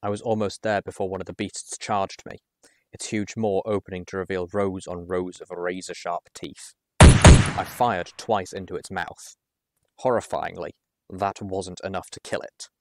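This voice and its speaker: A man reading a first-person adventure story aloud in a measured, expressive storytelling manner. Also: low voice, a little hiss or hum. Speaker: audiobook reader.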